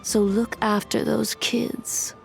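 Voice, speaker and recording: A tough, world-weary female gang enforcer for a video game. She speaks slowly and dryly, with plenty of fry in the voice. Faint game music plays behind her. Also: a little high, little pitch movement, exaggerated voice. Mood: dry, warning. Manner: dry, unhurried, world-weary.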